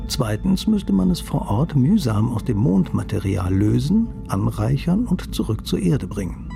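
A man's voice: mid-range voice, big pitch swings, a little slow, creaky. A man delivering a scripted, dryly ironic radio science commentary. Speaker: science columnist. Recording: music bed under voice.

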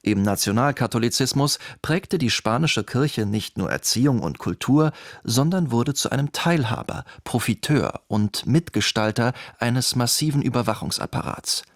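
A man's voice: medium pitch, expressive, normal speed, few pauses, no room sound, studio quality. A man narrating a scripted radio documentary.

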